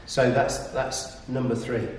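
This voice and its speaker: A man explaining business tips conversationally, in hesitant, measured phrases. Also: mid-range voice, level tone. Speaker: property business operator giving tips. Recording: echoey room, distant mic, faint noise.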